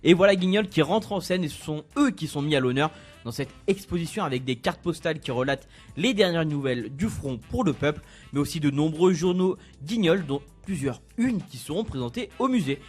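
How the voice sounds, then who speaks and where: A young man reading a weekly culture events column on a radio show in a brisk, informative way. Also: fairly high pitch, lively intonation, soft background music. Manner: brisk, informative, laughs easily.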